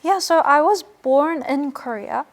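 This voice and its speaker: Soft-spoken young woman, giggly. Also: high-pitched, expressive, a little slow, over a noisy crowd. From New Zealand. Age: young adult. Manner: soft-spoken, giggly, hesitant with many fillers. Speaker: guest singer-songwriter. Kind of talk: casual interview chat.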